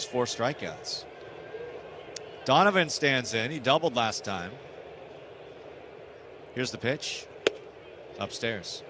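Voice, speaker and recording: A male radio announcer calls a baseball game live from a ballpark booth. His voice sits in a middle pitch, with clear outdoor background noise behind the commentary.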